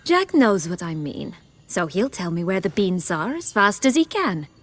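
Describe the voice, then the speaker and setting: A woman's voice: mid-range voice, very animated, moderate pace. A woman playing a cool, sardonic young noblewoman in a video game, sly, mocking and commanding. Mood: menacing, mocking. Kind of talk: video game character acting.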